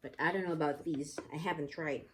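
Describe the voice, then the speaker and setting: A woman's voice: low-pitched, fairly even intonation, a little fast, fairly bright tone, slight background noise, rough recording. A woman teacher leading an interactive picture-book lesson for young children, explaining things simply and often asking the children questions.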